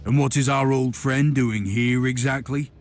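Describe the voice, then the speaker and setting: Game role: zealous imperial hierophant male actor, stern and formal, medium register, loud score underneath. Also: mid-range voice, steady tone, normal speed, lightly acted. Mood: stern, measured. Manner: formal, righteous, declamatory. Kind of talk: video game cutscene dialogue. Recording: loud music behind the voice. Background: loud game music.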